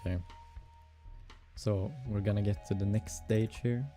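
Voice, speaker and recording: Slow, mellow-voiced Swedish man. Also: lowish voice, fairly even intonation, soft background music. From Sweden. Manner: musing aloud, halting with fillers and soft laughs. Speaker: game developer streaming his hobby project. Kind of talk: casual live-coding stream chatter.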